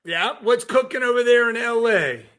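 A man's voice: very high-pitched, moderate pace, fairly bright tone. An American man hosting a show, interviewing a guest in a casual, chatty style.

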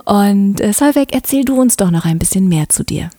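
A young woman from Berlin with a Berlin accent, hosting a podcast interview in a gentle, soft-spoken way that often sinks to a near-whisper. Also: medium pitch, lively intonation, brisk, no echo, studio quality. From Germany. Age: young adult. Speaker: podcast host. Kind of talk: conversational interview.

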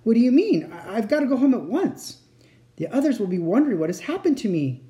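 Woman playing an earnest, animated little girl. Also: lowish voice, moderate pace. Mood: alarmed. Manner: polite, earnest, pleading. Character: earnest, kind-hearted young girl, anxious and pleading. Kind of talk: dramatised storybook reading.

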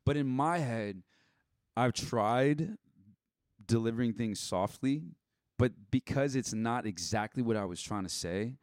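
A man's voice: mid-range voice, unhurried, darkish tone, no room sound, studio quality. A young man talking casually and candidly as a podcast guest, blunt and given to swearing, acting out bits of past conversations as he goes. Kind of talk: casual personal chat.

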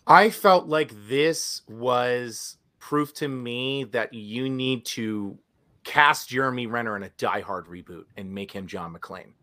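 Raspy-voiced man in animated chat. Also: mid-range voice, takes it slowly. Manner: animated, chatty, many restarts. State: raspy, recovering from illness. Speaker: podcast co-host. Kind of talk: casual chat.

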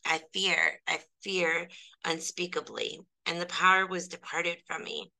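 A woman's voice: lowish voice, animated, unhurried, creaky, pushed and bright, heavily acted, rough recording. A woman voicing a frightened male pilgrim who pleads fearfully. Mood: terrified. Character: terrified male pilgrim on a mountain.

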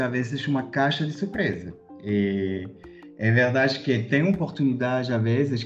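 A man's voice: mid-range voice, a little mellow, highs cut off. A man in his thirties from France with a French accent, a guest on a podcast telling his career story hesitantly, with many fillers and restarts. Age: 30s. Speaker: guest, corporate director.

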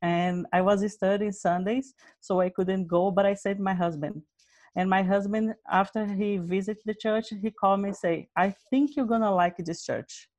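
A woman's voice: mid-range voice, fairly even intonation, moderate pace, darkish tone, no echo. Earnest woman, dry sound. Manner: earnest, simple non-native phrasing. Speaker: interview guest, Bible study leader. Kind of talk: personal testimony in an interview.

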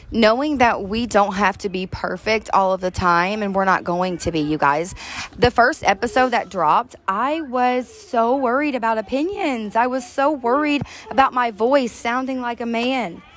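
A woman with a deep voice, co-hosting a faith-based recovery podcast, talking earnestly and heartfelt to listeners, recorded outdoors on a back deck. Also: unhurried. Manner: earnest, heartfelt, addresses listeners as "you guys". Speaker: podcast co-host.

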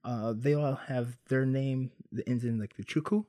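A man's voice: medium pitch, steady tone, normal speed, mellow. A male podcast host talks casually with his guest, leaning on filler words as he works through his thoughts. His voice sits in a middle range and sounds close and dry, with almost no room echo. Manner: loose and chatty, with many fillers. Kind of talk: casual interview chat.

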